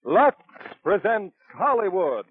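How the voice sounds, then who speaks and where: A man's voice: sits high, sing-song, slow. A man announcing a 1940s radio show in a grand, declamatory style. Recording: thin, tinny 1940s radio recording. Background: loud music.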